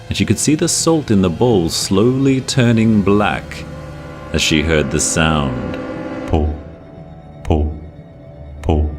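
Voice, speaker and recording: Male narrator, very low voice, scary folktale narration, measured and dramatic, drawn-out phrases, faint music behind. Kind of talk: scripted horror folktale narration.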